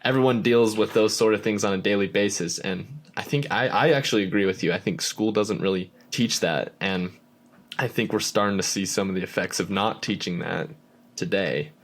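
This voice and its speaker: A man's voice: lowish voice, moderate pace. A man hosting a podcast, asking questions in a friendly, curious way with casual fillers like "kinda" and "right?". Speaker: podcast host. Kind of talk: casual interview chat.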